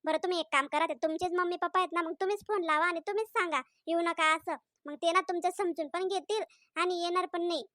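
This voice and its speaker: Woman voicing a bright, high-pitched, scolding young cartoon housewife. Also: fairly even intonation, fast talker, no echo, studio quality. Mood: arguing. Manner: chatty, insistent, scolding. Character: young married housewife, quick to take offense. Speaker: wife. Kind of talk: comedy cartoon voice acting.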